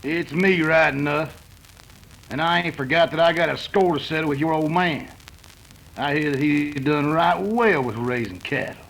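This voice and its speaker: Man playing a gravelly sneering western outlaw. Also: a little high, normal speed, a bit bright, slight background noise, rough recording. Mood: menacing. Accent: rustic American West. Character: menacing, sneering western cattle-rustler outlaw. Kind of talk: 1930s western radio acting.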